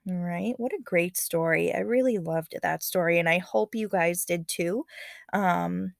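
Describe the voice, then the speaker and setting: A woman's voice: fairly low pitch, unhurried, creaky, no echo, studio quality. A woman reading a story aloud as a teacher, expressively, with casual, encouraging comments along the way.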